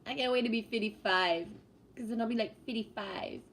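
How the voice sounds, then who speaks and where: A woman's voice: a little high, a little fast, noisy background. A woman in her fifties hosting a livestream, chatting casually and greeting viewers while talking about spiritual topics. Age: 50s. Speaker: livestream host.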